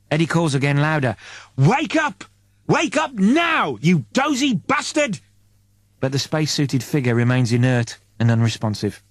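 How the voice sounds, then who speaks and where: A man reading an audiobook as a dramatic, suspenseful narrator in deliberate short phrases. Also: mid-range voice, darkish tone, dry recording.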